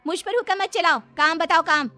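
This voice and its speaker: A woman's voice: extremely high pitch, brisk, pushed and bright, faint music behind, pitched above the performer's own voice. A woman voicing a haughty, educated young sister-in-law in a cartoon story, scornful and snappish.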